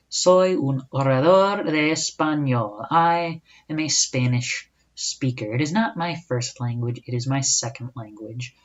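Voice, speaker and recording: Young man, solo podcast host: loose, chatty, self-correcting, on a slightly muffled recording. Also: mid-range voice. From United States. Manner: casual and chatty, with rhetorical asides and self-corrections. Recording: dull lo-fi recording.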